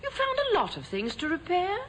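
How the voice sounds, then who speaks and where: Brief: an elderly, faltering yet defiant housewife, high swooping voice, vintage radio melodrama. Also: female voice, wildly swooping pitch, brisk, a bit bright, full character voice. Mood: fretful. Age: older. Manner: fretful, faltering, pleading. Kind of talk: old-time radio drama acting. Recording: noisy old radio drama recording.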